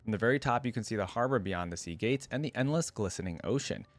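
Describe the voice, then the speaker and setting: A man voicing a gentle, earnest mechanical young woman in a storybook reading, in a measured way. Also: on the low side, animated, no room sound, close to an everyday voice. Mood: hopeful.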